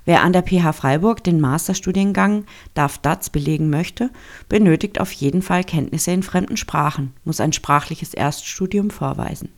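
A reporter reading a scripted feature narration in a measured, formal way. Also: mid-range voice. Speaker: radio reporter.

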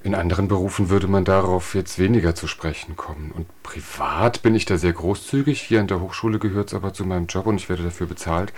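A man with a fairly low voice speaks as a guest in a radio interview. He explains speech practice in a measured, teacherly manner and reaches for everyday examples. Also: fairly even intonation, darkish tone. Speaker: speech trainer at a university.

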